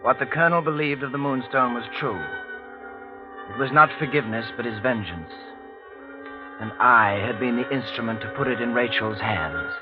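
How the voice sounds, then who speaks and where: An actor as a troubled young gentleman, dull old-radio sound. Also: medium pitch, moderate pace, natural voice. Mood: grave narration. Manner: formal, literary, dryly sardonic. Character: troubled young gentleman in love, narrating a mystery. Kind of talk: old radio drama acting and first-person narration. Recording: dull old radio recording, music behind.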